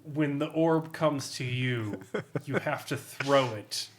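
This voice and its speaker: A man's voice: mid-range voice, slow. A man brainstorming in a casual group chat, on a slightly noisy recording with clicks.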